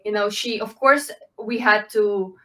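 A woman, answering interview questions in halting, full of fillers. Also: medium pitch, fairly even intonation, fairly bright tone. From Ukraine. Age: 20s. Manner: halting, with frequent fillers and restarts, non-native phrasing. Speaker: guest, Olympic swimmer.

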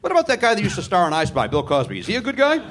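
A man works a theatre crowd from the stage, his speech a little higher than most and swinging widely up and down. He riffs and jokes with sarcastic, profane asides. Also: wildly swooping pitch, very fast, a bit bright. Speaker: comedy podcast host. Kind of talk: live stand-up banter with the audience. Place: theatre stage before a live audience.

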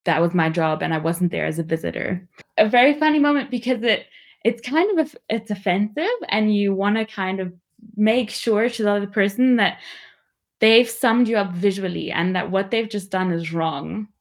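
A young woman giving a reflective personal account in a thoughtful, measured and candid way. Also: medium pitch.

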